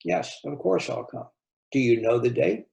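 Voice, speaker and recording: A man voicing a caring, worried woman who gently reassures a close friend in a read-aloud story. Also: medium pitch, expressive, normal speed, vocal fry. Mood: concerned, reassuring.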